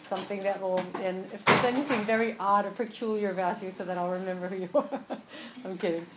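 A woman from New Jersey teaching a class to a small group, chatty and joking, then slow and emphatic as she explains. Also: medium pitch, fairly even intonation, very noisy. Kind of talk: relaxed class talk to a group.

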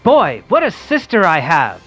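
A woman playing an earnest, excitable young boy hero in an amateur comic-book radio play, eager and polite. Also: on the low side, measured pace, over music. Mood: amazed, eager.

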